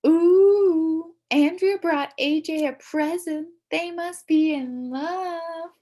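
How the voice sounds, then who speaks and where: High, teasing schoolboy, put on by a woman. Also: slow, warm tone. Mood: mocking. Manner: singsong, mocking. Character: teasing young schoolboy pals in a storybook.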